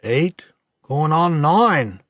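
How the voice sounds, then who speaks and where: A man voicing a gruff, caring old countryman with a rural English accent, terse and plain-spoken in an audiobook. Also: fairly high pitch, very animated, extremely slow, fairly bright tone, no room sound, studio quality, voice pushed up, heavily acted. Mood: plain, concerned. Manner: terse, rustic dialect phrasing.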